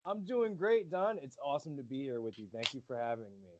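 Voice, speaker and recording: A man's voice: medium pitch, sing-song, a little fast, a little mellow, highs cut off. A man from Rhode Island, a professional wrestler, answering interview questions in a friendly, polite way with easy laughs. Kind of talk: casual interview.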